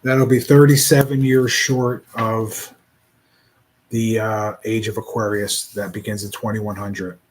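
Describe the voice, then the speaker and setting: Halting, rambling man in his forties. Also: on the low side, level tone, slight background noise, poor audio. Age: 40s. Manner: rambling, halting, with many restarts. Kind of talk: casual reflective monologue.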